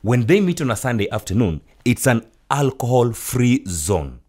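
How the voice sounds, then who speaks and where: A man talking on a radio panel in a radio studio, animated and tripping over repeated words as he restarts his sentences. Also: mid-range voice, normal speed, husky. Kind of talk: casual radio talk.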